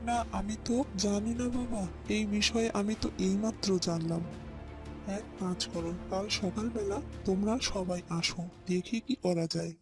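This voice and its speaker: A man voicing a calm older village elder in cartoon story dialogue, measured and explanatory in manner. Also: high-pitched, music in the background. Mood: puzzled.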